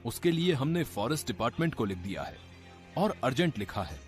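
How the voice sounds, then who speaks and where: A man's voice: medium pitch, very animated, fairly quick, faint music behind, close to an everyday voice. A man voicing a fussy, officious literary secretary in a cartoon story, speaking in a declamatory, effusive way. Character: pompous, fussy male government literary secretary.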